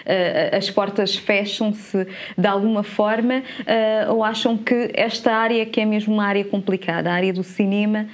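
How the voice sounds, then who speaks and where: A young woman hosts a television interview on a studio set, speaking into a lapel mic. She asks long, wordy questions and laughs readily. Her voice sits at a medium pitch. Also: steady tone, moderate pace. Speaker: talk show host.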